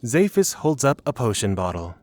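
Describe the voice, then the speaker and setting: A man's voice: mid-range voice, big pitch swings, normal speed. A man reading scripted narration for a fantasy audio drama.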